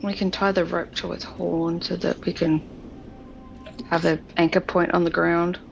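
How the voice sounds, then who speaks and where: A woman's voice: fairly low pitch, flat delivery, moderate pace, vocal fry. A woman speaking casually as a player in a tabletop role-playing game. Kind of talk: casual chat. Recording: dull, slightly noisy recording.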